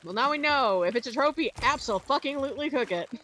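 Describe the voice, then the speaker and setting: A woman's voice: sits high, animated, fast, fairly bright tone. A woman chatting casually as a co-player on a game stream. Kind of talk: casual gaming chat.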